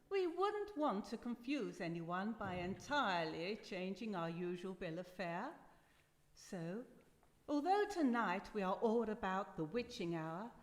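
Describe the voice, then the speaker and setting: A woman playing an effusive, genteel old-time radio announcer, presenting in a grandly formal, arch and theatrical manner. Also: mid-range voice. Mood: genial, formal. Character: effusive 1930s-style wireless announcer hostess, theatrical and genteel. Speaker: radio show host. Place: live performance in a university hall. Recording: echoey hall with long reverb.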